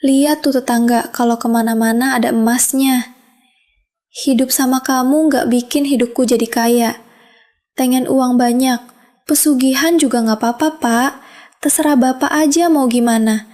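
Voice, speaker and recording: An actress voicing a greedy, discontented wife with a Javanese accent who whines and complains in a cartoon drama. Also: female voice, high-pitched, fairly even intonation, normal speed, a little mellow, close to an everyday voice. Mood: complaining. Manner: whiny, complaining.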